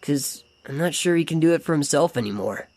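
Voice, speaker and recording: A woman's voice: deep voice, lively intonation, a little fast, background noise. A woman voicing a friendly, slangy teenage male mutant turtle in a comic dub, talking like a surfer dude as he narrates a letter. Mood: worried, tender.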